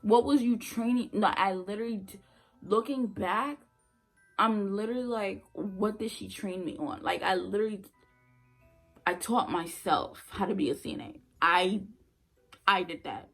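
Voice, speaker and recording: Young woman venting on her vlog, gravelly husky voice, blunt, keeps repeating herself to make the point. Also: medium pitch, moderate pace, fairly bright tone, soft background music, low-quality recording. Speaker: former nursing assistant vlogger. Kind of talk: casual storytelling vlog.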